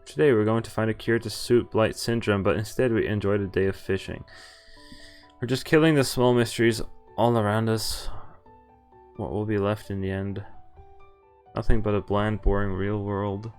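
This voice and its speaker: A man's voice: lowish voice, fairly even intonation, normal speed, a little mellow, clean tone, faint music behind. A man streaming a visual novel, reading its narration aloud and breaking off for wry comments, swearing and laughing.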